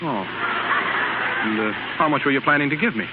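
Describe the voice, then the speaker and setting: An actor in a 1930s radio drama playing a moody, self-pitying young husband and struggling writer, defensive and sulky, on a noisy, muffled recording. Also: male voice, medium pitch, lively intonation, a little fast, natural voice. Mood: indignant.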